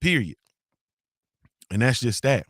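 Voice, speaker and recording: A man's voice: medium pitch, very animated, slow pace, fairly bright tone, dry recording, studio quality. A man hosting a morning show, chatting loosely and cussing often, with slangy storytelling and constant "you feel me" tags. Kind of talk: casual live-stream chat reading comments.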